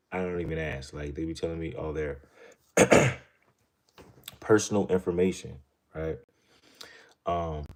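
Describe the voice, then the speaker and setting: A man with an extremely low, deep voice talks casually to his viewers. He rambles through a story and then money rules, and tags almost every thought with "right?". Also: a little mellow. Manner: rambling, keeps asking "right?". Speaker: channel host.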